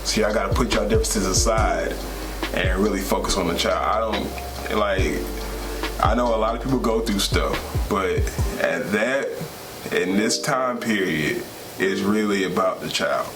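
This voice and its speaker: A man's voice: lowish voice, moderate pace. A young man talking casually and emphatically in a vlog, repeating phrases for stress. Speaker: vlog co-host and new father. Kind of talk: casual storytelling chat. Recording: loud music under the talk. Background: music.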